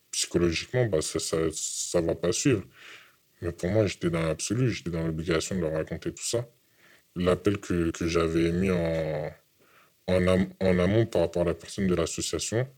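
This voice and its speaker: Young man, very low pitch, halting interviewee who keeps repeating words. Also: a little mellow. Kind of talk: testimony interview. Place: hidden from camera.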